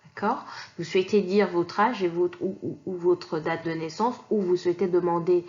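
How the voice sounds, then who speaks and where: A woman's voice: fairly low pitch, fairly even intonation, moderate pace, a little mellow, dull audio. A woman from Kinshasa teaching an online language lesson, explaining patiently with many fillers and restarts. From Kinshasa, DR Congo.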